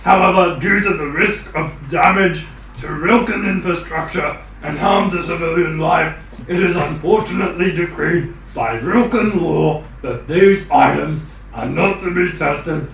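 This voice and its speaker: A man voicing a loud, guttural penguin-like alien shopkeeper hawking magic items at a market stall. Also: a little slow, gravelly, heavy reverb, far from the mic, slight background noise, poor audio. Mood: formal. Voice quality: guttural, full-throated. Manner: booming market-stall announcing. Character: loud male slug-bodied penguin shopkeeper hawking wares.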